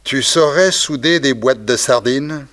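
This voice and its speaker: An actor in a 1960s French radio crime serial playing a cold gang boss, authoritative, ironic and slyly menacing. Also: male voice, mid-range voice, measured pace, fairly bright tone, lightly acted. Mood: sly, calculating.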